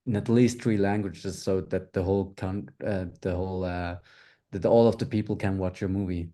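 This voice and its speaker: Low, mellow-voiced man from Switzerland. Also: steady tone. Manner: measured, plain answers with fillers. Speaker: film producer, guest. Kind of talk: interview answers.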